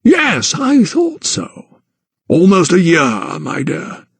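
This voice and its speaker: Guy doing a slow patronizing old gent, gravelly, pitched up, kinda distant. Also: wildly swooping pitch, no echo, heavily acted. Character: conniving older aristocratic gentleman, meddling guardian.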